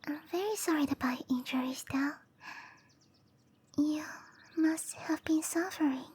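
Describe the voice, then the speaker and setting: A woman voicing a shy, gentle, scatterbrained cherry blossom dryad girl in a hesitant, apologetic whisper for roleplay ASMR. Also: high voice, measured pace, a little hiss or hum. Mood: gentle, explaining. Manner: hesitant, apologetic, breathy whispering with soft giggles.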